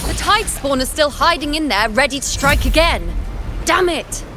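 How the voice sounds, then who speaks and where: A woman's voice: sits high, lively intonation, normal speed. An actress playing a poised, playful young female fighter in an anime-style video game, confident and commanding. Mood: frustrated. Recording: game music behind the voice.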